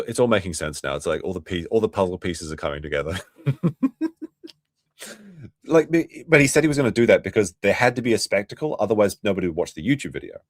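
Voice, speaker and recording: A man's voice: on the low side, dry recording, studio quality. A man hosting a late-night livestream, rambling in an offhand way and restarting his sentences. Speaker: streamer host.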